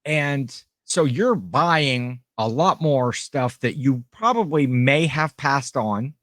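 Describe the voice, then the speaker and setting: A man's voice: medium pitch, expressive, slow pace, a bit bright, dry recording, clean studio sound. Man telling a story on a podcast. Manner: storytelling, acts out quoted people. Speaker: podcast co-host. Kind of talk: casual chat.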